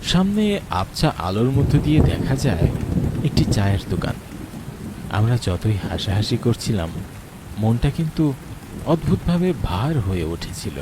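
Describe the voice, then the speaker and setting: A man's voice: mid-range voice, very animated, measured pace, heavy background noise. A man playing a reflective narrator and wary friend, telling an atmospheric scripted ghost story. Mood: reflective, ominous. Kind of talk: atmospheric scripted horror storytelling with dramatised dialogue. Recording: rain and thunder behind the voice. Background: rain, thunder.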